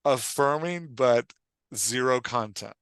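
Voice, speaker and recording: Bright, emphatic man coaching in conversation. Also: medium pitch, slow pace, clear, resonant tone, no room sound. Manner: emphatic, repeatedly checks for understanding; acts out short example lines of dialogue. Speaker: podcast host and writing coach.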